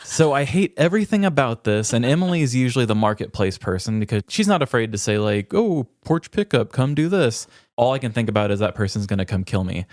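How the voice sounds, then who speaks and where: A man's voice: medium pitch, animated, brisk, hardly any pauses, dry recording, studio quality. A man telling stories casually as a podcast co-host, with wry, self-deprecating humor. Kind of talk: casual comedic storytelling chat.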